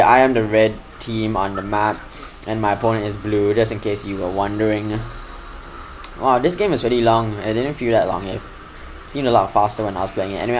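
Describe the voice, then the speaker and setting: A man casually commentating over a replay of his own strategy game match. His delivery is fairly flat and steady, and it lifts when the action excites him. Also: on the low side, a little hiss or hum, low-quality recording. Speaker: gaming channel host.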